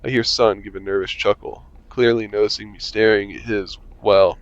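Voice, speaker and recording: Lowish man reading a game aloud. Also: little pitch movement, normal speed, dry recording, muffled recording. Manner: reads aloud, chuckling, wry asides. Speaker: gamer reading a visual novel aloud. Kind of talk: casual let's-play narration.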